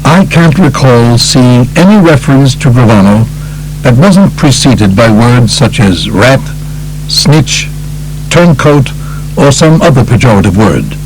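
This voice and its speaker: A man voicing a solemn judge in an audiobook, formal, measured and rhetorical. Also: mid-range voice, sing-song, slight background noise, rough recording. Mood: grave, questioning. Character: solemn male judge weighing a criminal informant's worth. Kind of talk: audiobook character reading.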